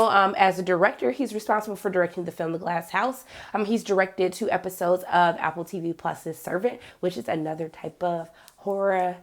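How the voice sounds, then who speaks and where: Hoarse, raspy woman with a cold. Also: on the low side. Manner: chatty, many filler ums, occasional throat clearing. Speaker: TV series reviewer and channel host. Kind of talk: casual episode recap.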